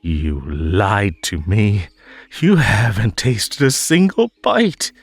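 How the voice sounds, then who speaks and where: Unhinged, wildly swooping yandere hare. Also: male voice, fairly low pitch, lively intonation, slow pace, slightly rough, no echo, faint music behind, exaggerated voice. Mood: cold, offended. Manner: giggly, stammering, swooping from gushing to menacing. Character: unhinged possessive male hare host, the Ether Bunny. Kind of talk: theatrical roleplay audio drama monologue.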